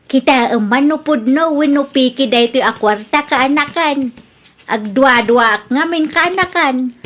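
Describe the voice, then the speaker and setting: A woman's voice: high voice, measured pace, a bit bright. A woman playing a suspicious, insistent middle-aged village aunt in a comedy radio drama, with a nagging, scolding manner. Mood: worried.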